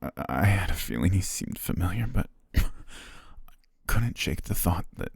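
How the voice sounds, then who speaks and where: A man voicing a dying young butler, loyal and tender, who speaks in hushed, halting words broken by weak breathy laughs as his strength fades, recorded on a close mic for an ASMR roleplay. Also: deep voice, animated, no room sound, exaggerated voice. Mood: stunned, hurt. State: fading, short of strength. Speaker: voice actor.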